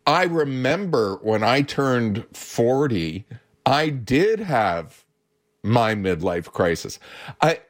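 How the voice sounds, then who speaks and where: A man's voice: mid-range voice, very animated, slow pace. A man of seventy, a guest on a radio talk show, chatting and telling stories in a teasing, emphatic manner. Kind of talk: casual storytelling chat.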